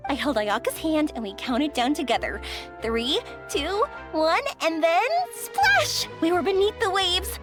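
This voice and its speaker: Casting: bubbly young woman for a video game, high and lively, boundless energy. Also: measured pace, music in the background. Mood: excited.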